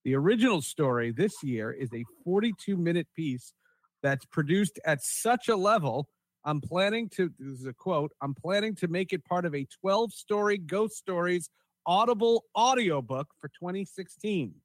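A man hosting a podcast in a casual, conversational way, often halting and repeating his words as he thinks. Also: high voice, animated, no room sound, rough recording. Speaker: podcast host.

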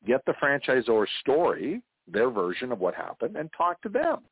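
A man's voice: medium pitch, huge pitch swings, moderate pace, husky, dry recording. A Canadian man, a guest on an interview, telling stories and joking in casual conversation over a call. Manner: chatty storyteller, jokes and laughs often. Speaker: interview guest, franchise consultant. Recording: tinny phone line.

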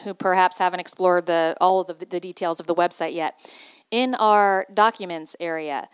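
A woman's voice: fairly low pitch, fairly even intonation, moderate pace, clear, resonant tone, dry recording. A woman hosting a podcast, speaking conversationally as she interviews and informs listeners. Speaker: podcast host.

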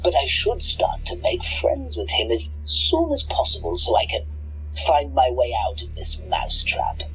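A man's voice: medium pitch, wildly swooping pitch, measured pace, a bit bright, dull audio, a little hiss or hum, full character voice. A man playing a smug, scheming radio-play villain who gloats theatrically and plots revenge. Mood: scornful scheming.

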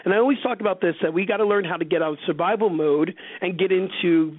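A man hosting a conversational radio interview, feeling out questions haltingly and restating them several times. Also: sits high, dry recording. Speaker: radio show host, interviewer. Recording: thin phone-like radio audio.

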